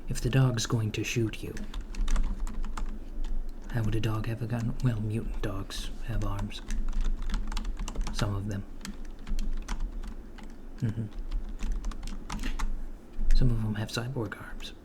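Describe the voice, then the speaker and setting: Man playing a slow, hushed villainous henchman recruiter. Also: on the low side, little pitch movement. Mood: deadpan. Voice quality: soft-spoken, often slipping into whisper. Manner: hushed, halting, matter-of-fact. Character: polite, bureaucratic male henchman recruiter at an evil corporation. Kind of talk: ASMR roleplay, improvised job interview. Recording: clearly noisy close recording, keyboard typing. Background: computer keyboard typing.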